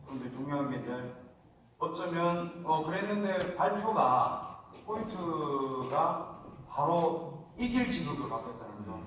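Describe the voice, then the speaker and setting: A man with a regional Korean accent lectures at a seminar in a folksy, conversational way, checking in with the audience as he goes. His voice sits a little high. The recording comes from a large, very echoey hall, picked up from some distance with faint background hiss. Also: animated, unhurried, fairly bright tone. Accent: Korean regional dialect. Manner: folksy, chatty, asks the audience for replies. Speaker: seminar speaker.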